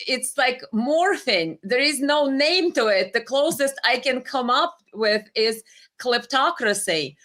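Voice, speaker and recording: A woman's voice: sits high, pushed and bright. A woman with a Ukrainian accent, hosting a political interview and talking in an emphatic, opinionated way. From Soviet Ukraine. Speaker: interview host.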